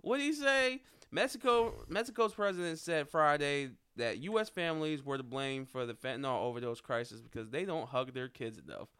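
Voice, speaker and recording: A man's voice: fairly high pitch, a little fast, hardly any pauses, pushed and bright, clean tone. A man co-hosting a casual podcast, reading out news headlines and reacting with blunt swearing, frequent laughs and restarts. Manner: swears freely, laughs often, trails off and restarts. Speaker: podcast co-host.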